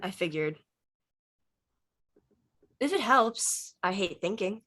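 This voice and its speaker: A woman's voice: mid-range voice, animated, slow, fairly bright tone. A woman reading an introspective, dryly witty teenage boy in conversational screenplay table read dialogue. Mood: wistful, dry.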